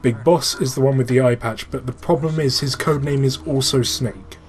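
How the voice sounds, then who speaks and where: A man's voice: medium pitch, moderate pace, faint music behind. A man giving casual, informal gaming commentary as a let's play host, swearing freely and explaining technical details.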